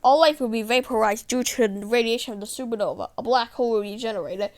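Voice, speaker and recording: A woman's voice: fairly high pitch, quick pace, darkish tone, close to an everyday voice. A woman acting a flustered, anxious character in an amateur improvised drama, stumbling over words and correcting herself. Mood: halting, grave.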